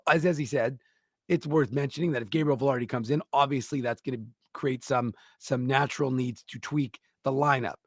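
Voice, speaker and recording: Sports-talk co-host, male, mid-pitched, opinionated, stumbles and restarts, dry close sound. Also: dry recording. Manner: opinionated, frequent restarts and repeated words. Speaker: co-host of a hockey talk show.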